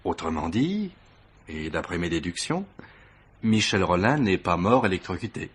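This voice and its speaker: A man's voice: low voice, sing-song, normal speed, slightly rough, full character voice. A man acting an eager, deferential police assistant in a French radio mystery play, explaining his deductions with proud, methodical emphasis. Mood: confident, deductive.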